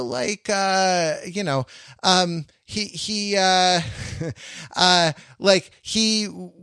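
A man co-hosting a podcast, thinking aloud in casual analytical discussion, haltingly searching for words and repeating phrases. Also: fairly high pitch, a bit bright, dry recording, studio quality. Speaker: podcast co-host.

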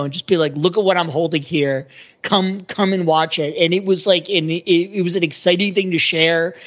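A man's voice: high voice, a bit bright. A middle-aged man talks as a panelist in a casual chat. He presses his points emphatically and checks for agreement as he goes. The recording is slightly dull and lo-fi. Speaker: podcast panelist.

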